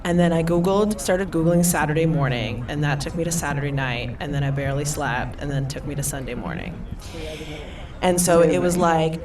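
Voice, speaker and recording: Low-voiced woman telling her story. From Eastern Europe. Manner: animated storyteller, laughs often. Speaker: panelist. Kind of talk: personal story on a panel. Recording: far from the mic, crowd behind.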